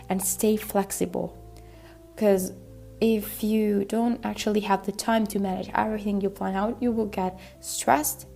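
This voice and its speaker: Solo podcast host, woman, gravelly low-key voice, chatty and thinking aloud, soft music underneath. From Italy. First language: Italian. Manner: chatty, thinking aloud with many fillers and restarts. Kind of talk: solo podcast monologue on language learning.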